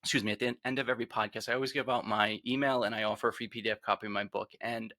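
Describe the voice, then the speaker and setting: Low, bright-voiced man with Rhode Island accent. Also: steady tone, very fast, no room sound, clean studio sound. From Rhode Island, USA. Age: 40s. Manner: earnest, reflective, trails off quietly. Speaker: podcast guest, author. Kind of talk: candid personal storytelling.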